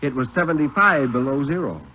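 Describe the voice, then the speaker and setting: An American man giving a solemn, deliberate dramatic reading of a story in the style of 1950s radio. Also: mid-range voice, noisy background. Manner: solemn, deliberate, expressive phrasing. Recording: muffled 1950s radio recording.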